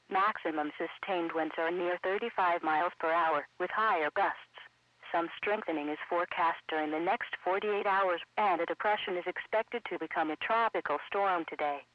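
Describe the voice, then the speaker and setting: A man's synthetic voice reading scripted weather bulletins in a measured, impersonal way. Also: high voice, dry recording. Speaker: weather radio announcer. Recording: dull lo-fi weather radio broadcast.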